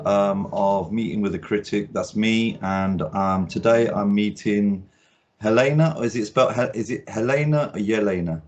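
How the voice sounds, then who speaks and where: A man's voice: on the low side, moderate pace, darkish tone, soft background music. A man hosting a casual interview as an art critic, talking in a rambling way with many ums and restarts. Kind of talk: casual video-call interview. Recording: dull lo-fi sound.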